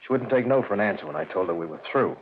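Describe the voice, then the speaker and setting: A man playing a cold, deep-voiced murderous lieutenant. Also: rapid-fire, natural voice. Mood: cold calm. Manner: calm, low, matter-of-fact. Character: cold, calculating police lieutenant hiding a murder. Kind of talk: 1940s radio drama acting. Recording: tinny, faintly hissy old radio recording.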